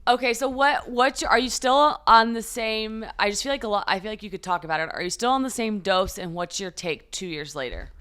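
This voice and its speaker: A woman's voice: medium pitch, fairly quick, hardly any pauses, a bit bright, smooth, dry recording. A young woman co-hosting a podcast, asking curious questions in a casual chat. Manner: curious, asks questions, upbeat in the ad read. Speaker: podcast co-host. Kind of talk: casual chat and host-read ad.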